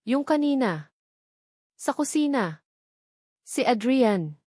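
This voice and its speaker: A woman voicing a worried wealthy man who speaks softly but directly in a storybook reading. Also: sits high, big pitch swings, slow pace, no room sound, clean studio sound, pitched above the performer's own voice, heavily acted. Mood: pressing.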